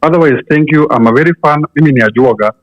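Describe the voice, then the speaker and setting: A Kenyan man calling a radio show, giving his opinion earnestly with repeated restarts and slow, stressed words. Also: a little high. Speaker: radio caller. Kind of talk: call-in opinion. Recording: dull lo-fi phone-in sound.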